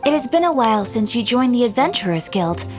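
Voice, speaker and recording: A woman's voice: a little high, sing-song, a little fast, darkish tone, soft background music. A woman voicing a polite young guild receptionist who speaks in a courteous, formal way. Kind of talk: game voice acting.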